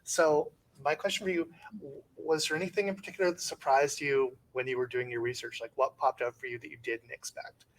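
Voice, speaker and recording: A man's voice: a little high, steady tone, brisk, few pauses, rough recording. A man moderating a book panel, chatty and upbeat as he takes questions.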